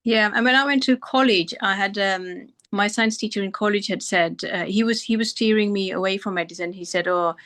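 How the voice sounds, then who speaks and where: A woman's voice: medium pitch, fairly quick, few pauses, fairly bright tone. A middle-aged woman doctor from Pakistan, answering interview questions thoughtfully with frequent restarts. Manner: thoughtful, many restarts and fillers. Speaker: doctor guest.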